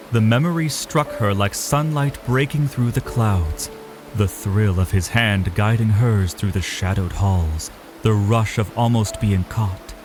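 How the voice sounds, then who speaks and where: A man narrating a scripted fantasy story in a dramatic style. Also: on the low side.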